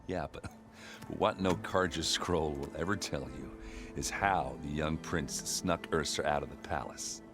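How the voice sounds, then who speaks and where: An actor playing a hearty, boisterous warrior who tells stories in a folksy, bashful, chuckling way in a video game. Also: male voice, a bass voice, unhurried, music in the background. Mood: relaxed, wry. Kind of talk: video game cutscene acting.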